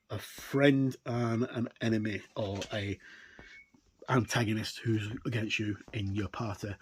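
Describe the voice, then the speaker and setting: A man's voice: fairly low pitch, darkish tone. Man giving a casual review, noisy recording. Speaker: tabletop game reviewer. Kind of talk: casual flip-through product review, unscripted. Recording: clear background noise with clicks.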